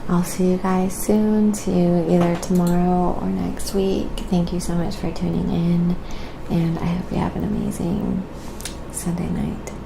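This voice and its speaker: A woman with a heavily fried, slightly low voice narrates an ASMR painting stream in casual chat. She speaks softly and takes her time, thanking viewers as she goes. There is clear steady noise behind her. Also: a little slow. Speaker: live streamer, painting artist.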